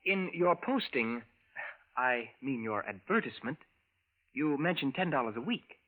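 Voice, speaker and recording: A man's voice: medium pitch, lively intonation, unhurried. An actor playing a polite, secretive male visitor from the future, speaking carefully and formally in 1940s radio style. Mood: careful, evasive. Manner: careful, formal, precise, few contractions. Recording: narrow, muffled vintage radio recording.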